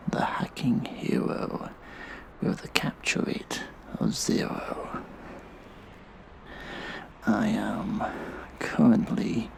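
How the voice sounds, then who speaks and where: A man playing a smug, mischievous hacktivist vigilante in an audio drama, speaking in a hushed, breathy, halting monologue. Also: fairly low pitch, heavily acted. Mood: smug, menacing.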